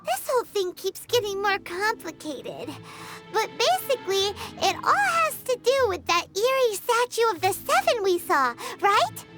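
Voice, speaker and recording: A woman voicing a tiny, excitable fairy companion girl in a video game, chattering eagerly and talking about herself in the third person. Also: very high voice, a little slow, music in the background, full character voice. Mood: chattering curious.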